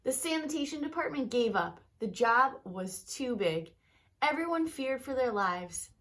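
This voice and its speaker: A woman with a somewhat high voice reads aloud to children. She tells the story expressively, in the manner of a picture-book reading, and speaks directly to her young listeners with simple explanations. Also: lively intonation, measured pace, a bit bright.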